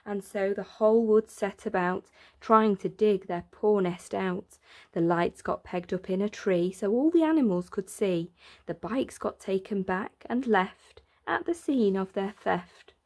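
A woman reading a rhyming children's picture book aloud in an expressive, deliberate way, drawing out words for emphasis. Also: medium pitch. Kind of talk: expressive read-aloud of a rhyming bedtime story.

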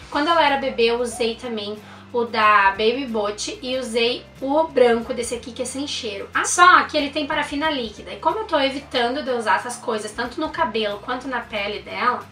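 A woman's voice: fairly high pitch, pushed and bright, echoey room, recorded from a distance. A young woman, reviewing baby products in a chatty, enthusiastic way and using lots of diminutives. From Brazil. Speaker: mom vlogger. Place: indoor room.

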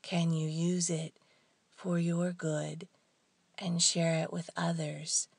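A woman leading a guided meditation in a soft, hushed, breathy voice, leaving long pauses between short phrases. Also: on the low side, little pitch movement, no echo.

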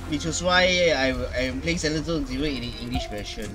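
Man in his twenties, fairly high pitch, halting casual reactions, gaming host, background music. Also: rough recording. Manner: halting, repeats words. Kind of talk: casual unscripted reaction commentary. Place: small room at home, indoors. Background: game trailer music.

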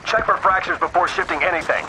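A man acts a stern male military commander from a video game. His voice sits a little high, with clipped, authoritative orders. Clear battle noise rumbles behind him. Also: quick pace, close to an everyday voice. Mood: businesslike. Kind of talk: dramatic video game voice acting.

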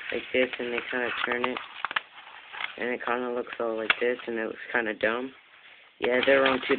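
Male how-to narrator, casual and rambling, little pitch movement, lo-fi phone recording. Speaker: video maker giving a how-to. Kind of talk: casual improvised tutorial chat. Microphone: handheld Android phone. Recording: tinny phone-like audio, clear background noise.

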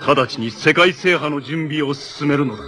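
An actor playing a menacing armored cyborg villain in a 1980s Japanese superhero TV show, making imperious, theatrical proclamations. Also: male voice, fairly high pitch, normal speed, sharp tone. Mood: commanding.

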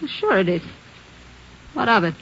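A woman's voice: medium pitch, sing-song, a little slow, gravelly, fairly bright tone, a little hiss or hum, heavily acted. An actress playing a defiant young woman suspected of murder, curt and snapping back, in a 1950s radio drama. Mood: defiant, sullen. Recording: dull old radio broadcast.

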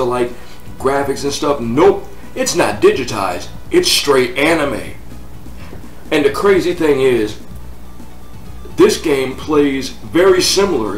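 An American man in his thirties talking casually and bluntly to camera as a game review host, repeating himself for emphasis. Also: medium pitch. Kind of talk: casual opinionated vlog talk to camera. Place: living room on a couch, indoors. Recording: roomy sound, distant mic, music behind.

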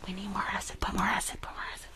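A shady, impatient female dentist, acted out by a woman speaks in breathy whispers for an ASMR roleplay. She mutters bossy orders and repeats herself in a hurry, gleeful about her crooked work. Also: medium pitch, completely flat delivery, very fast, natural voice. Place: small indoor room, livestream setup.

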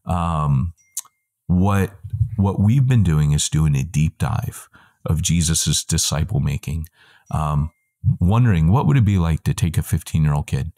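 A middle-aged man, a guest on a video call, giving a long, animated answer full of quick asides and self-corrections, heard through wired earbuds in a room at home. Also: low voice. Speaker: guest, church planting trainer.

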